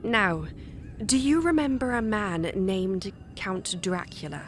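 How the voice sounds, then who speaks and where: A woman's voice: mid-range voice, lively intonation, slow pace, music in the background. A woman playing a brave, composed young wife, polite and coaxing, in an audio drama. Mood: calm coaxing. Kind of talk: theatrical audio drama acting. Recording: clear background noise.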